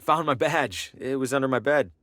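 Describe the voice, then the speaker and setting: A man's voice: medium pitch, normal speed, a bit bright, no room sound, close to an everyday voice. A man playing a wry, down-to-earth traveling salesman who thinks aloud in a dry, conversational way. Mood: wry, casual. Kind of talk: video game character acting, thinking aloud.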